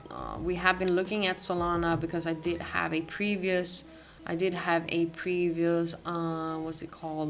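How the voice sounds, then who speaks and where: Swedish-accented woman hosting a live stream. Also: lowish voice. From Sweden. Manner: conversational, many restarts and fillers, greets chat viewers. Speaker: crypto livestream host. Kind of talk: live market analysis, reading chat.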